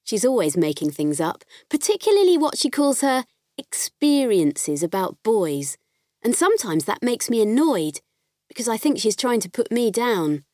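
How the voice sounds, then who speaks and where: A woman's voice: a little high, normal speed, no echo. A candid farm girl, close dry sound. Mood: mildly irritated. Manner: expressive, conversational storytelling. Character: farm girl narrating her diary, candid, warm, spirited. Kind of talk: audiobook first-person diary narration.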